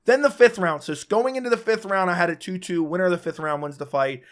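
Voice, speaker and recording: A man who is sick and losing his voice, hosting a casual, opinionated solo recap of a fight round by round. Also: sits high. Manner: casual, opinionated, talks fast in bursts with restarts. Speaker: MMA commentator, channel host.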